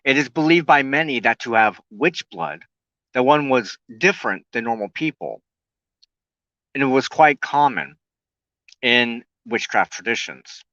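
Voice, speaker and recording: Guy hosting a show solo, sharp bright voice, reads his notes kinda haltingly, dry sound no room. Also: mid-range voice, expressive, no room sound, dull audio. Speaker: host of a weekly occult broadcast. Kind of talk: scripted monologue, partly read aloud.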